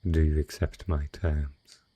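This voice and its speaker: Man playing a very deep, velvety possessive dragon patron. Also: flat delivery, dark tone, breathy edge, heavily acted. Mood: businesslike, indulgent. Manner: slow, deliberate, formal, velvety seductive phrasing. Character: ancient wealthy male dragon patron in human guise, possessive, seductive. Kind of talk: intimate romantic audio roleplay, scripted.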